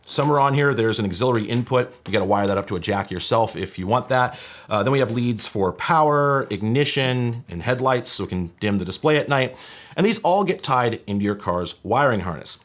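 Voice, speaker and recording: Casting: male video host, mid-pitched and very lively in intonation, casual hands-on tech explainer, wry and colloquial. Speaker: video host presenting vintage electronics.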